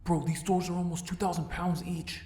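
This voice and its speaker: An actor playing a reckless, excitable young rebel brother in a sci-fi audio drama, with casual, expressive, slangy delivery. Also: male voice, sits high, brisk. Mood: teasing. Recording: clear background noise, ambience.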